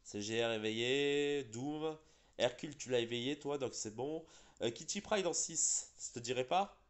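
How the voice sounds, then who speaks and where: A man's voice: mid-range voice, normal speed. A man hosting casual gaming chat, a bit congested from being sick, rambling with fillers and restarts. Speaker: gaming channel host.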